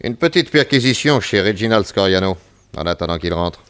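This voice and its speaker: An actor playing a confident, unflappable private detective in a French radio serial, breezy and commanding. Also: male voice, deep voice, animated, a little fast, fairly bright tone, a little hiss or hum. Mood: brisk, calm.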